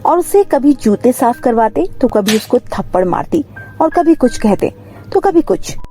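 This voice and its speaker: A woman's voice: high-pitched, sing-song, normal speed, mellow, soft background music. A woman reading a scripted moral story for children as the narrator.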